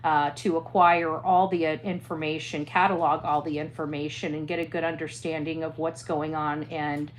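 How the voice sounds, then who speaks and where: A woman speaking earnestly to an audience as a commentator, halting and emphatic, repeating phrases to press her point. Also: deep voice, little pitch movement, slight background noise. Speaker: interviewee, online true crime commentator.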